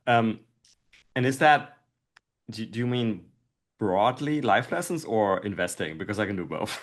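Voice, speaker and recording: A man's voice: lowish voice, lively intonation, measured pace. A middle-aged man speaking casually and thoughtfully as an interview guest, often dropping "like" into his sentences. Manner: thoughtful, hedging with "like". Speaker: podcast guest, investor.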